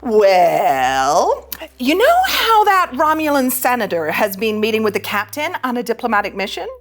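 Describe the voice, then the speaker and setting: A woman voicing a cocky, mischievous young female starship ensign in a scripted animated sci-fi read, flippant and teasing. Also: fairly high pitch, normal speed. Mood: sly, breezy. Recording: music under the voice.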